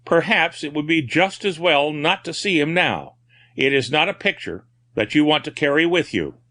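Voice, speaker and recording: A man voicing a gentle, sad-sounding asylum attendant who speaks softly. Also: a little high, expressive, normal speed, no room sound, pitched above the performer's own voice. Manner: gentle, sad and soft-spoken.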